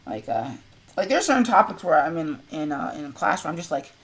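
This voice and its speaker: A woman co-hosting a live stream in casual chat, expressive and quick to react with interjections. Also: deep voice. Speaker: stream co-host.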